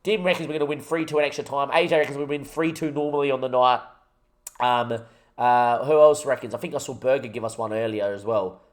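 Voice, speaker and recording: A man's voice: mid-range voice, fairly quick. Chatty man streaming a game. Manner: excitable, rallying, chatty with chat. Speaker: gaming streamer. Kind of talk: live Football Manager stream commentary.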